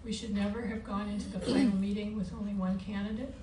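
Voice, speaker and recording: Woman, candidate at a forum; mellow, even delivery, halting, recorded at a distance. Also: mid-range voice. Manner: halting, repeats words. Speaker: school board candidate at a forum. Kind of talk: unscripted public forum answer. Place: meeting board room. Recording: echoey board room, distant mic, background noise.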